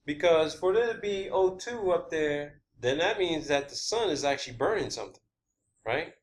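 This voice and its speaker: A man hosting a video, giving a rambling, unscripted explanation of his own theories in a halting, emphatic, blunt and casual way, repeating key words. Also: on the high side, animated. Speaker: video channel host. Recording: clear background ambient noise.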